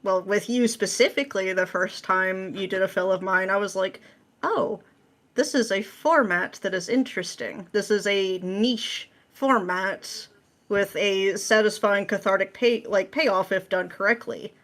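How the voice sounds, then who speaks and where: A woman's voice: mid-range voice, unhurried, a bit bright. A woman chatting casually as an interview guest, with a playful way of talking that slips into acted-out asides. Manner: playful, acts out imagined quotes. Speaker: podcast guest, script writer. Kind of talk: casual chat.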